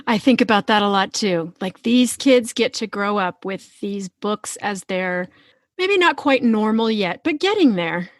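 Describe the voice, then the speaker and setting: A woman's voice: mid-range voice, animated, a little slow, no room sound, clean studio sound. A middle-aged woman hosting a casual interview, talking in a frank, playful, colloquial way. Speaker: podcast host. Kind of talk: casual, informal interview chat.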